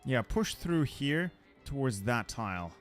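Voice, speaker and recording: A man's voice: medium pitch, very animated, unhurried, soft background music. A man hosting a gaming channel, giving wry, playful live commentary on a strategy game, his voice slightly worn. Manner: wry, playful, jokey asides.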